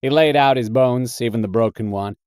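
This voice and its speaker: A big, tough American drifter tells a dark short story , acted out by a male reader. The delivery is dramatic and deliberate, with a colourful hard-boiled streak. The pitch is middling and the sound is dry, with little room around it. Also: clean tone, no room sound, lightly acted. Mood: brooding, deliberate. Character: scarlet-bearded thickset tough purgatory drifter, male narrator. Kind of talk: dramatic first-person short story narration.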